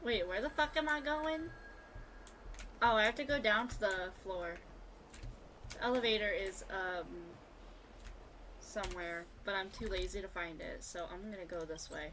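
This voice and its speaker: A woman game streamer reacting casually to live gameplay in an excitable, exclamatory way. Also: medium pitch, moderate pace, pauses often, a little hiss or hum. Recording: game music behind the voice.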